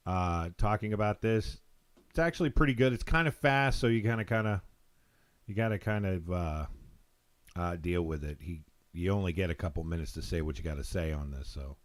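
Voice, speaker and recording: An American man hosting a live call-in show, talking casually in a rambling, halting, informal way. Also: fairly low pitch, smooth. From Texas, United States.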